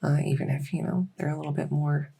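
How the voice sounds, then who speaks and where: A woman playing a gentle fae doctor in a soft-spoken ASMR medical roleplay, reassuring and unhurried, sometimes slipping toward a whisper. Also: low-pitched, fairly even intonation, vocal fry, husky, full character voice. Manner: unhurried, reassuring, hesitant pauses. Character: gentle female fae doctor with buggy wings and scaly tail. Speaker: audio roleplay performer.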